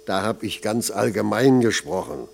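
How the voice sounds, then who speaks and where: An actor playing a blunt, patronising middle-aged factory foreman with a gravelly voice in a German radio play. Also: lowish voice, steady tone, moderate pace, slight background noise. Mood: gruff, scolding. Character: gruff paternal older factory foreman.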